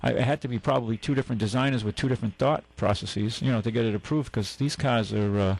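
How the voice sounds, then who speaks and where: A man's voice: mid-range voice, fast, creaky, a little hiss or hum. A man hosting a radio car show, chatting casually about old cars in a rambling way with plenty of fillers and restarts. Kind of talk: casual on-air car chat. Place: radio studio.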